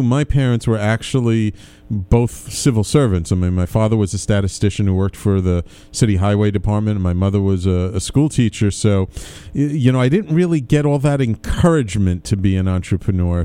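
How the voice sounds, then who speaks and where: Low, mellow, smooth-voiced male radio host. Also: expressive, normal speed, no room sound. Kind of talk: casual conversational interview.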